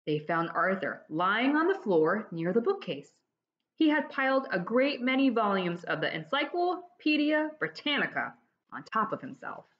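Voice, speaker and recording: Lively woman reading a children's storybook. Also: medium pitch, moderate pace. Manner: expressive, explanatory, addressing children directly. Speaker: teacher reading aloud to pupils. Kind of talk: children's storybook read-aloud. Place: dining room at home, indoors. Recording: dull lo-fi webcam audio.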